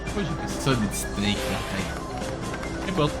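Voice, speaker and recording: A man's voice: fairly low pitch, big pitch swings, unhurried, loud music behind the voice. A man doing casual, amused reaction commentary, rambling and often interrupting himself. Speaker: host reviewing an old cartoon.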